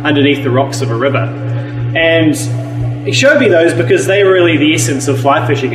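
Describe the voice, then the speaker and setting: A man with a New Zealand accent, a university professor giving an enthusiastic, casual workshop talk to a live audience, with frequent ums and restarts. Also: on the high side. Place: meeting room with a live audience. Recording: echoey room with faint music.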